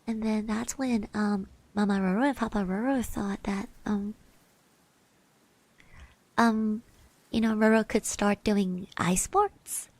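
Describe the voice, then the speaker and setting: Woman as a slow, soft-spoken sweet girl classmate. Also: medium pitch, steady tone, dry recording, low-quality recording. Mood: fond reminiscing. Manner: hesitant, gentle, refers to herself in third person. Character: shy, sweet, chatty hockey-playing girl classmate. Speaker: ASMR roleplay streamer. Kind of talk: soft-spoken ASMR roleplay.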